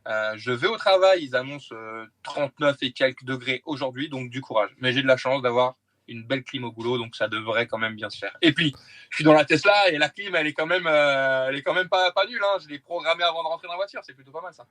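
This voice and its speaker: A man's voice: mid-range voice, fast talker, a bit bright, dry recording. A man chatting casually and jokingly over a phone call from inside a car, familiar with the person he's calling. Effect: voice heard through a phone call. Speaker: phone caller, friend of the host. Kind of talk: casual chat. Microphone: smartphone on a call.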